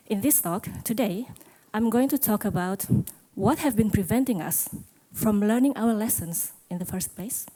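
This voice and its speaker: A woman's voice: medium pitch, moderate pace, hardly any pauses, mellow, rough recording. A middle-aged woman gives a conference talk, asking the audience questions and joking about herself. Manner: self-deprecating, asks the audience questions. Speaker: conference speaker. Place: conference hall.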